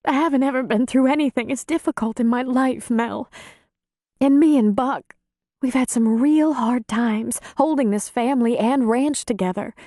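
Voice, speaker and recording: A woman narrator plays a weary, distraught rural grandmother in an audiobook. Her voice is high and mellow, trembling and wailing as she pleads in halting, plain country phrases, recorded very dry and close. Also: no room sound, lightly acted. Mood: troubled, pleading. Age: middle-aged. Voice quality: quavering, wailing voice. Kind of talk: audiobook character dialogue.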